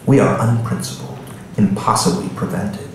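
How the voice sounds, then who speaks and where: Low-voiced man in a very echoey room. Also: fairly even intonation, moderate pace, a little mellow. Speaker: author reading from own novel at a bookstore. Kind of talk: live literary reading with casual asides to audience. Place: indoor bookstore reading before an audience. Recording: echoey room, distant mic, background noise.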